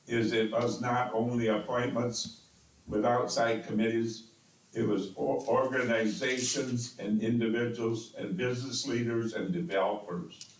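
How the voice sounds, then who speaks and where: A man's voice: medium pitch, fairly even intonation, unhurried, a little hiss or hum. A man serving as mayor with a strong, carrying voice, speaking insistently and repeating words as he defends himself. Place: city council chamber. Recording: echoey council chamber, distant mic.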